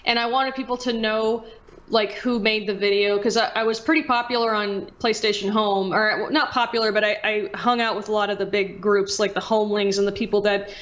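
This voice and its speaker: A woman's voice: mid-range voice, fairly even intonation, a little fast, a bit bright, dull audio. A woman chatting casually and candidly as a podcast guest, rambling as she goes. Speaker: podcast guest, video creator and streamer. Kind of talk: casual chat.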